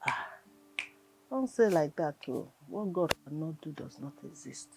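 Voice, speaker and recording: A woman's voice: low voice, expressive, unhurried, vocal fry, over music, exaggerated voice, poor audio. A woman playing a concerned, devout Nigerian woman in a film drama, admonishing with emphasis and urging hope. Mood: hopeful, soothing. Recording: faint background noise and ambience.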